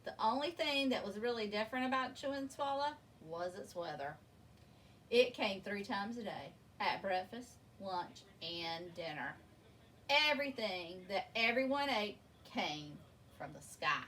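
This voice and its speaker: A woman reading a children's picture book aloud in an expressive, deliberate way, drawing out words for emphasis. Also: medium pitch. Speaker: storybook reader. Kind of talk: read-aloud children's story narration. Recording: distant mic with faint background noise.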